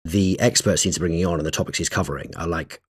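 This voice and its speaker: A man co-hosting a podcast in casual chat, animated and stumbling over his words as he gets going. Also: low voice, quick pace, husky. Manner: animated, restarts often, stresses words. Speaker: podcast co-host.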